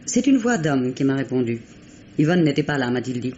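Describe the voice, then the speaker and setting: An actress playing an assured clinic head nurse giving precise, formal, emphatic testimony in a 1950s French radio crime drama. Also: female voice, extremely low pitch, big pitch swings, fairly quick, mellow. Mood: precise, earnest. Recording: muffled, noisy old radio recording.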